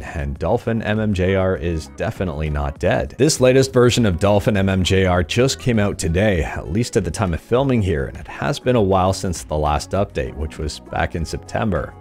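A man in his thirties with a low voice delivers a scripted tech news rundown, upbeat and quick with a joke. He speaks close into a studio mic in a small room at home. Faint music sits quietly under his voice. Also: animated. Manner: upbeat, jokey sign-offs. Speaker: emulation news host. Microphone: studio mic on boom arm.

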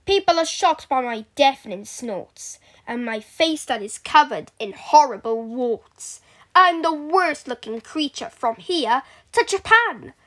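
A woman's voice: sits high, animated, a little slow, fairly bright tone. A woman voicing a dumpy, bristly warthog who boasts in rhyme. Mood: boastful. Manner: boastful, rhyming.

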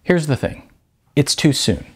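A man's voice: fairly high pitch, very animated, measured pace, vocal fry, husky, voice pushed up, exaggerated voice. A man voicing an earnest, flustered young waitress in a storybook reading, hesitant and correcting herself. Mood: flustered, apologetic. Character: earnest, flustered female waitress and aspiring actress.

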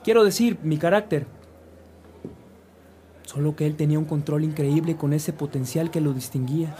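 Man acting an earnest, higher-voiced young man. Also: normal speed, dark tone, a little hiss or hum, close to an everyday voice. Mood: eager, earnest. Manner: earnest, reflective. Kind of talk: Spanish-language radio drama acting.

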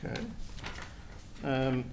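Older man, slow halting speech, panel chair, table mic, conference room. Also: background noise. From Australia. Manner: hesitant, halting between words. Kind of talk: moderating a panel. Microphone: table microphone.

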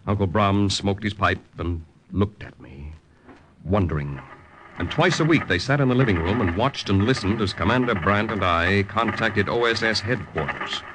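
An actor playing a composed, proper-spoken American army lieutenant held captive, in old-time radio drama style with narration. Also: male voice, on the low side, normal speed, noisy background, close to an everyday voice. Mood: measured, grave. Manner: precise, proper speech. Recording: old radio recording with music.

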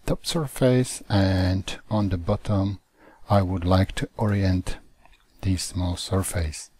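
A man who does not speak natively, teaching CAD software in a halting, careful way over a screen recording. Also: on the low side, breathy edge, no room sound. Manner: slow, halting phrasing with non-native grammar.

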